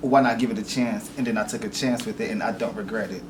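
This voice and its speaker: A man's voice: on the low side, quick pace, far from the mic. A man in his forties, born in Venezuela, answering interview questions in an easygoing way and dropping in short "yeah"s. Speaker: podcast guest, entertainment reporter. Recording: echoey room, off-mic, steady background noise.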